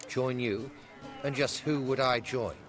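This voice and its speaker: A man's voice: medium pitch, fairly even intonation, a little slow, music in the background, natural voice. A man voicing a haughty, sardonic fellow in a video game, mocking and theatrical. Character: haughty, sardonic old acquaintance, male.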